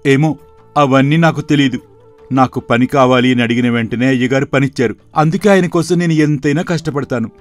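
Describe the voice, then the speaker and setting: A man playing a humble, hardworking farmhand, mild and deferential, in an animated moral story. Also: medium pitch, a little fast, soft background music, lightly acted. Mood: mild, earnest.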